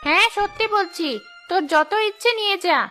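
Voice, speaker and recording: A woman's voice: very high-pitched, brisk, pushed and bright, faint music behind. A woman voicing a predatory female ghost witch who lives in a tree hollow, with a gloating, menacing manner in a cartoon. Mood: softened. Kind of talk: cartoon voice acting.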